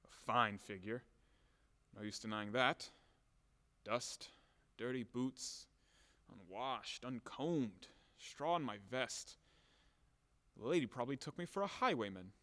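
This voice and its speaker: An actor playing a furious, self-pitying landowner who rants and grumbles theatrically in an audio play comedy. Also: male voice, medium pitch, lively intonation, takes it slowly, long pauses, bright, forceful tone. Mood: weary grumbling. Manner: theatrical, exasperated ranting and grumbling. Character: blustering, furious, self-pitying male landowner demanding his money. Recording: echoey hall-like reverb.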